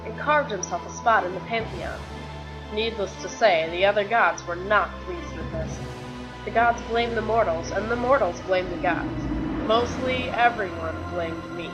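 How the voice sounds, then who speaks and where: Woman doing a tired guilty hero warrior lady, earnest and dramatic, fantasy comic dub, music clearly playing under her. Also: medium pitch, natural voice, poor audio. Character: weary, guilt-ridden woman warrior and reluctant champion. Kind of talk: dramatic dialogue in a fan-made motion comic.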